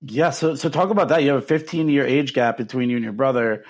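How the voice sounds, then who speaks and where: A man's voice: medium pitch, quick pace. Friendly male podcast host. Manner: friendly, hesitant with many fillers. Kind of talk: casual interview.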